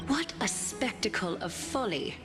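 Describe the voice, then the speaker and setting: An actress voicing a stern, composed and aloof leader of warriors in a fantasy video game, formal and dryly commanding. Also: female voice, mid-range voice, lively intonation, a little slow, lightly acted. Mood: dry, disapproving. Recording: very loud background music.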